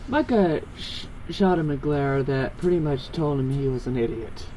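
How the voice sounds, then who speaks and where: A man with a soft-edged, mellow voice reads a fan story aloud, moving between narration and dialogue. He trips over longer words now and then. The amateur recording has faint noise behind it. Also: medium pitch, lively intonation, moderate pace, poor audio. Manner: stumbles over long words. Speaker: fan fiction reader.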